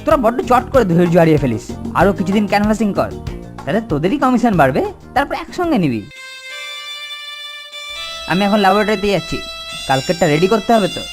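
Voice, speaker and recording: A boastful cartoon boy who fancies himself a great inventor , acted by an adult. The delivery is pompous and scheming, as if every line were a grand announcement. Music plays clearly behind the voice. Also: mid-range voice, big pitch swings, quick pace, pauses often, lightly acted. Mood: smug. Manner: pompous, self-important. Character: boastful, scheming older boy inventor selling hair tonic. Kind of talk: Bengali children's cartoon voice acting.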